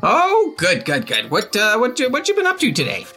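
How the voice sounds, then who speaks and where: A man doing a shrill, fussy village mother. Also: wildly swooping pitch, moderate pace, sharp tone, soft background music, full character voice.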